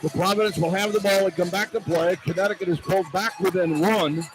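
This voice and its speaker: A man calling live basketball play-by-play on radio from a noisy arena, in excited, clipped phrases that follow the action. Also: high-pitched, a little fast, over music. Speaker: play-by-play announcer. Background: loud arena noise.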